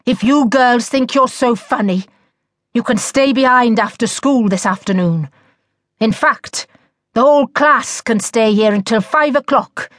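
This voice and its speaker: A woman's voice: mid-range voice, measured pace, no room sound, clean studio sound, close to an everyday voice. A woman voicing a weary, exasperated middle-aged male history teacher in a storybook, stern and sarcastic. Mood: angry, scolding.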